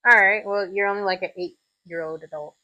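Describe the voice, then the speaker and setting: A woman's voice: medium pitch, fairly even intonation, brisk, muffled recording, crowd noise. A woman hosting a casual interview chat in a tattoo studio, blunt and teasing with slangy talk. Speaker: podcast host, tattoo artist.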